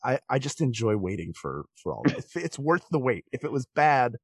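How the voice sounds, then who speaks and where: A man's voice: mid-range voice, sing-song, fairly quick, gravelly, breathy edge, no echo, clean studio sound. A man talking casually as a guest on a podcast.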